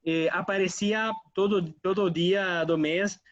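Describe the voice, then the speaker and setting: Man, interview guest, halting, dull dry recording. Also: sits high, steady tone, dry recording. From Italy. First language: Italian. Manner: hesitant, many fillers and restarts. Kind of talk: radio interview.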